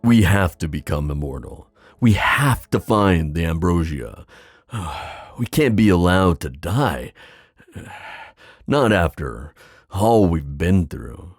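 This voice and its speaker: A man playing a wistful, theatrical sea god in an ASMR fantasy roleplay, musing with hesitant pauses and drawn-out phrasing. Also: low voice, animated, dry recording, studio quality, exaggerated voice. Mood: solemn.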